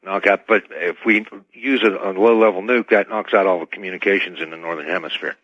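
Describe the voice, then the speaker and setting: Man, American, very low voice, dull lo-fi radio feed, measured, corrective, debating guest. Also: no echo. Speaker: talk show guest.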